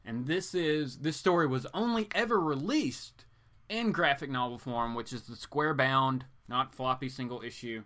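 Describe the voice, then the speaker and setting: Male live stream host, medium pitch, loose chatty delivery, informal and playful, drifting from exclamations to mutters. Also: moderate pace, fairly bright tone. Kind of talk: casual rambling chat, reading viewer comments.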